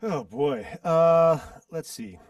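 A man in his forties, a musician guest in a casual interview, reminiscing in a rambling way with pauses to recall details. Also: on the high side, clean tone, dry recording, lo-fi sound. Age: 40s. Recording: distant dry mic.

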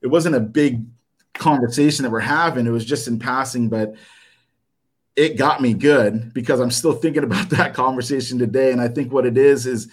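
A man in his thirties, whose first language was Japanese, gives a personal testimony to his church. He speaks in a halting, earnest way, with frequent "um"s and restarts. His nerves show in his delivery, and his voice sits at an ordinary middle pitch for a man. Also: slightly rough. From United States. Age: 30s. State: nervous. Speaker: church member giving a talk.

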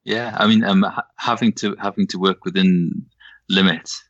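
A man with a Welsh accent softened toward English, talking casually as a novelist guest, hesitant with many fillers and restarts. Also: deep voice, steady tone, normal speed, no room sound, highs cut off. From Wales. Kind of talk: casual conversation.

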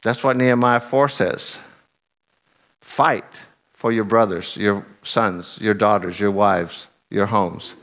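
A middle-aged man preaching a sermon in an earnest way, voice a bit husky and lowered after singing loudly, leaning hard on key phrases. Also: fairly even intonation, slow pace.

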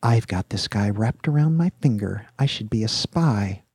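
A man's voice: fairly low pitch, lively intonation, moderate pace, darkish tone, husky, no echo, clean studio sound, pitched above the performer's own voice. A man voicing an eager, naive young male college student in an audiobook, chatting in casual slang. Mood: smug, scheming. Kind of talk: audiobook character dialogue.

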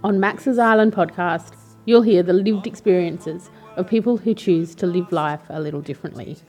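Mellow woman reading a podcast intro. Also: lowish voice, moderate pace. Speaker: podcast announcer. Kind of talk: scripted intro narration. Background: soft guitar music.

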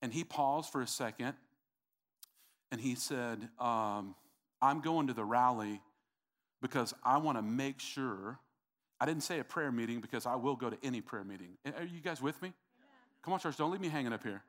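A man preaching a sermon to his congregation, in a voice of ordinary middle pitch. He speaks earnestly and circles back over his own words. He addresses the church directly. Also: expressive, moderate pace, a bit bright. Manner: earnest, repeats himself, talks to the congregation. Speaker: pastor.

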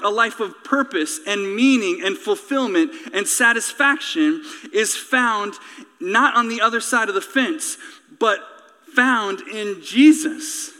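A man's voice: sits high, sharp tone. A man preaching an impassioned sermon with emphatic, rhetorical, repetitive phrasing. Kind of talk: impassioned sermon with repeated phrases, closing in prayer. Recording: reverberant hall sound.

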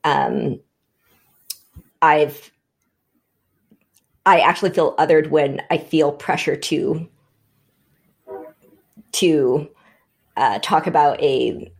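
A woman born in Vietnam speaks on a panel with a low voice and a gravelly edge. She thinks aloud, often restarting her phrases. The talk is relaxed and conversational. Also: drawn-out words, long pauses. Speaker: panel guest.

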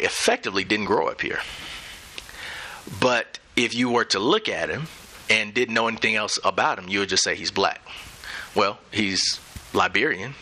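A middle-aged man speaking as a guest in a live onstage conversation, measured and thoughtful with light laughs. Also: on the low side, bright, forceful tone, slight background noise, poor audio. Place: event center stage.

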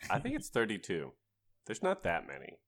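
A man with a lowish voice talks casually. His pitch moves around in a lively way, and he speaks in short, blunt remarks. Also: normal speed, gravelly. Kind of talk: casual chat.

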